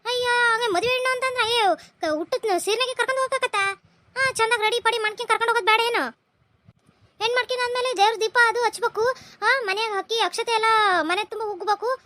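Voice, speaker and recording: A woman's voice: very high-pitched, fast, pushed and bright. A woman voicing a fussy middle-aged village mother in a cartoon, speaking with a North Karnataka Kannada accent. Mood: fussing. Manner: fussy, scolding, talks over others. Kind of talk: cartoon voice acting.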